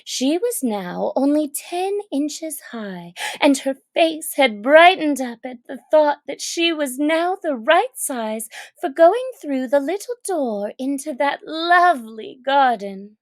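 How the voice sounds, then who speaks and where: High, lively, unhurried woman storyteller. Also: no room sound. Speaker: storybook narrator. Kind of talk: expressive scripted children's story narration.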